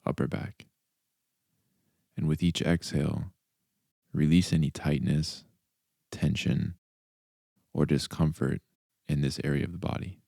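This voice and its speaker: A man guiding a meditation as a mindfulness coach, speaking calmly and deliberately in short instructional phrases with pauses. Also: extremely low pitch, gravelly, dry recording, clean studio sound. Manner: calm, deliberate, soft instructional delivery with pauses. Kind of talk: guided meditation narration.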